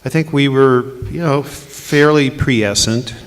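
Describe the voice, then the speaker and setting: A man who is a company chairman, speaking on a panel in a measured, matter-of-fact way. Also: medium pitch. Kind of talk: conference panel talk. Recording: reverberant conference hall.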